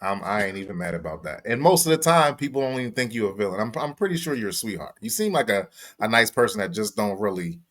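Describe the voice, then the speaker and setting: Easygoing male host, slangy and quick to laugh, his pitch moving a lot. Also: big pitch swings, a bit bright. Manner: laid-back, laughs easily, slangy. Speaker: podcast host. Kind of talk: casual chat.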